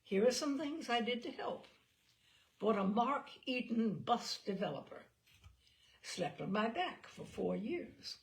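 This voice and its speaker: A woman giving an expressive, wry dramatic reading of a comic personal essay, with deliberate pauses and emphasis. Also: a little high. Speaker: reader of humorous essays.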